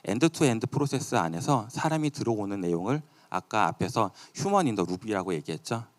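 A man's voice: fairly low pitch, sing-song, fairly quick. A man giving a live product demo at a company event in a conversational way. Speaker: presenter at a company tech event.

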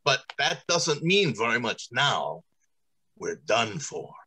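Man playing a lively, stern factory boss. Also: mid-range voice, takes it slowly, breathy edge, full character voice. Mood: stern, bitter. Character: stern, flustered male factory director. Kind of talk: old-time radio drama acting. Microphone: video call.

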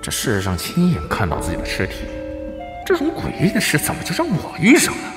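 Guy acting a young prince in a period audio drama, theatrical n stammery, super animated pitch, music behind. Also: a little fast. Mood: wistful. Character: flustered young prince regent with a changed soul. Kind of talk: costume radio drama acting. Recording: echoey reverb with music behind.

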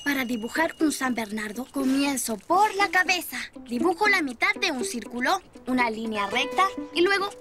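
A woman's voice: high-pitched, animated, normal speed, hardly any pauses. A woman voicing a cheerful, helpful young rabbit boy who encourages and explains in a children's cartoon. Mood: encouraging. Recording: music behind the voice.

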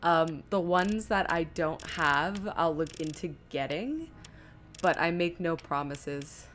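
A woman's voice: sits low, animated, slow pace, a bit bright, slight background noise. A woman streaming a video game, chatting informally and rambling to viewers as she plays. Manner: informal, rambling, muttering to herself while playing. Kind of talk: casual live gaming commentary, chatting to viewers. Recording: game music behind the voice. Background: video game music and sound.

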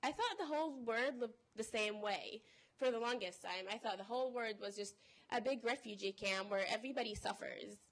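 A teenage girl from Palestine speaks earnestly into a podium microphone. She reads a prepared personal talk in a steady way, in a college conference room. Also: a little high, brisk, low-quality recording. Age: teens. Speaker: panel speaker.

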